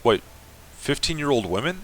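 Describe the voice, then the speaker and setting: A man's voice: medium pitch, very animated, moderate pace, a bit bright, low-quality recording. A young man reading through a game aloud, musing and thinking aloud between passages. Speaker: audiobook reader.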